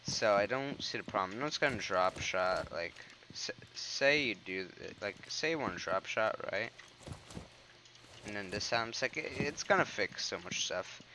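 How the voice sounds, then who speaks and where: A man's voice: lowish voice, lively intonation, normal speed, background noise. A young man giving casual, rambling commentary over gameplay, sounding a bit congested. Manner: rambling, filler-heavy, pointing at footage. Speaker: gaming commentator. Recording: game sounds and gunfire behind.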